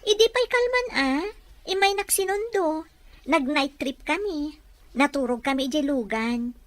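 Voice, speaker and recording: A woman's voice: sits high, measured pace, no echo. A woman with an Ilocano Filipino accent playing a polite, naive young employee from the province in an audio drama. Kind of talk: Ilocano radio-style drama acting.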